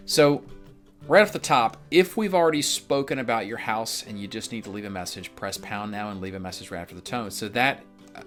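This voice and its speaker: A man's voice: fairly low pitch, fairly bright tone. A man from Memphis giving a conversational instructional walkthrough in a casual, explanatory way, as a real estate instructor. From Memphis, United States. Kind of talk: conversational instructional narration of a script.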